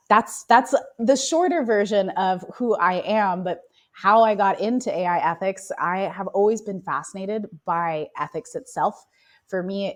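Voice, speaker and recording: A woman with a cold speaks in a raspy, somewhat low voice. She explains things thoughtfully and casually as an interview guest. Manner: thoughtful, explanatory, laughs easily. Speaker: AI ethicist guest.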